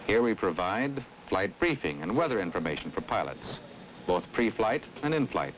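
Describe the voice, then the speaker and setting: Earnest, low-voiced American government specialist. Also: male voice, very animated, low-quality recording. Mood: earnest explaining. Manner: explanatory, measured, earnest. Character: affable, earnest government air traffic control specialist. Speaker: actor as air traffic specialist. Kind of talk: scripted 1960s educational film dialogue and narration. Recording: old 1960s film soundtrack, faint noise.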